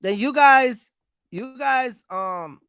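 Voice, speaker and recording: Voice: sits high, slow pace, fairly bright tone, clear, resonant tone, no echo, studio quality. An American livestream host giving informal business advice, speaking emphatically, repeating points and checking in with listeners.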